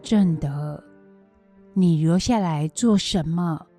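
A woman's voice: fairly low pitch, takes it slowly, warm tone, faint music behind. A woman acting a caring, self-sacrificing old grandmother in a radio drama, with a Taiwanese Mandarin accent. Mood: coaxing.

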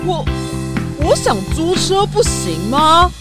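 A woman's voice: extremely high pitch, sing-song, slow, full character voice. A woman with a Taiwanese accent playing a sheepish, defensive male customer at a car rental in a children's radio drama, hesitant and childlike. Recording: music under the voice.

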